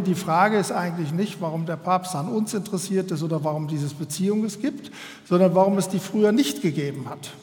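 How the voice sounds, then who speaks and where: A German man giving a public lecture to an audience, in a measured and earnest way, often pausing to find his words. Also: high voice, darkish tone. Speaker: guest speaker. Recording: long hall reverb.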